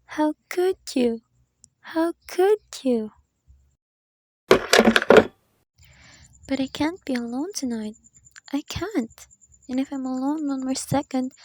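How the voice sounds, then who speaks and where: A woman's voice: high-pitched, darkish tone, heavily acted. A woman playing a nervous, irritable bedridden woman in a radio play, querulous, demanding and melodramatic. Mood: distraught, pleading.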